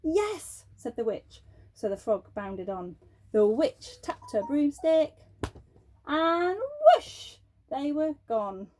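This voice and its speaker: A woman's voice: a little high, animated, slow, dark tone. A woman storyteller reading a children's bedtime story aloud expressively, speaking directly to young listeners.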